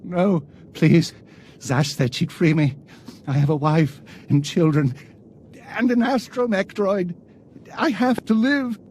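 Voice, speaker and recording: An actor playing a nervous, cowardly inventor in a video game, anxious and pleading. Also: male voice, sits high, slow pace, a little mellow, slight background noise. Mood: terrified pleading.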